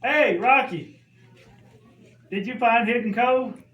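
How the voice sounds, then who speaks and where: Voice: medium pitch, takes it slowly, highs cut off, lightly acted. A performer voicing a sensible, patient puppet who questions and guides a friend in a children's church show. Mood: friendly. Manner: instructive, questioning. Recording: roomy, distant mic, faint background noise.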